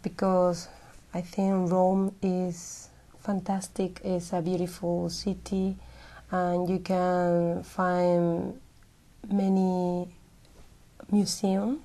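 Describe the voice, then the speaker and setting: A woman's voice: on the low side, level tone, extremely slow, dark tone. A woman from Peru, answering as an exam candidate and hesitating as she searches for words.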